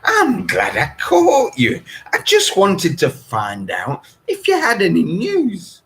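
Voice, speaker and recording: A man's voice: sits high, huge pitch swings, takes it slowly, creaky, a bit bright, slight background noise, voice pushed up, exaggerated voice. A man voicing a chatty, nosy woman in her sixties, a gushing neighbour in a story who draws out her exclamations. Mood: delighted, curious. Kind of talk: audiobook character voice.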